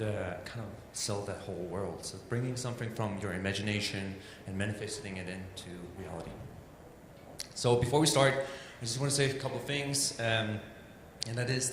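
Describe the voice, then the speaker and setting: A man from Denmark with a Danish accent, a native Danish speaker, giving an informal illustration talk in a loose, chatty, self-deprecating way full of "like" and "um". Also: on the low side. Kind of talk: informal presentation. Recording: echoey hall with steady background noise.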